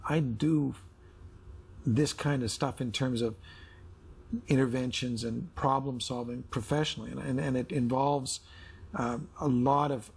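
A middle-aged man with a gravelly, husky voice. He talks reflectively and conversationally, halting and repeating words as he works out what he means. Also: medium pitch. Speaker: husband in couples counselling. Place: indoor studio set with armchairs.

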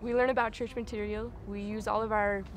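A young woman from Utah, a missionary, speaking with bright enthusiasm in an outdoor interview. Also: medium pitch, flat delivery, fairly quick, a little hiss or hum. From Utah, USA. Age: young adult.

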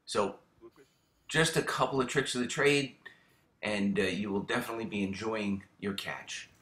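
Bright-voiced man with lots of fillers. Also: fairly low pitch, normal speed, roomy sound. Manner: relaxed, with frequent fillers and repeated words. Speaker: fishing channel host. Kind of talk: casual how-to narration.

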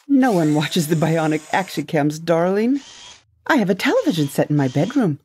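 Low-voiced woman playing a secretive ex-wife. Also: big pitch swings, normal speed, a little mellow, slight background noise. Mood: breezy. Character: secretive, breezy ex-wife in a dystopia. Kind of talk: scripted audio drama.

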